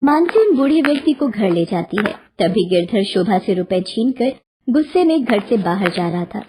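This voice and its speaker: A woman's voice: medium pitch, big pitch swings, normal speed, a little mellow, slight background noise, rough recording. A woman storyteller giving scripted narration of a children's moral story.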